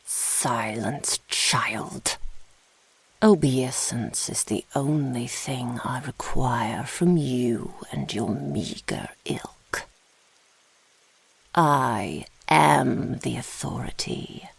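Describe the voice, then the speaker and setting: A woman voicing an imperious, gloating dark mage in a fantasy ASMR roleplay, with a whispery, gravelly voice and haughty, archaic diction. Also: rumbling low, lively intonation, extremely slow, no echo, pitched below the performer's own voice, heavily acted. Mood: imperious, threatening.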